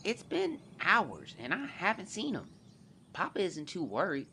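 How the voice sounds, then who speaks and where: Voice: medium pitch, huge pitch swings, measured pace, pushed and bright, slight background noise, exaggerated voice. An actor voicing a young boy who earnestly narrates events into his journal in a scripted audio drama. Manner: earnest, confiding, storytelling.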